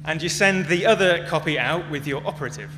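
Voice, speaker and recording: A man giving a prepared talk as a conference speaker, phrasing it in short, measured, emphatic chunks. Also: on the high side. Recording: long echoey reverb, clearly noisy with hum.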